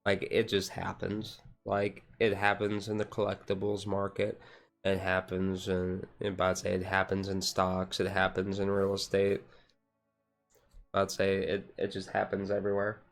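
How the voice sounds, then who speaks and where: A man's voice: deep voice, monotone, measured pace, vocal fry, soft background music. A man chatting casually on a chill livestream, rambling along with lots of "like" and "and stuff". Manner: rambling, hedging with repeated "like" and "and stuff". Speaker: streamer. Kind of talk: relaxed ASMR chill stream.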